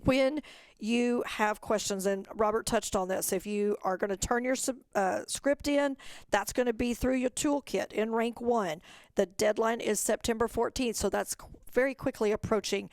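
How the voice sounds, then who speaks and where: A woman's voice: medium pitch, fairly even intonation, moderate pace, no echo. A woman giving an informational webinar presentation, explaining step by step in an instructional way. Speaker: program staff presenter.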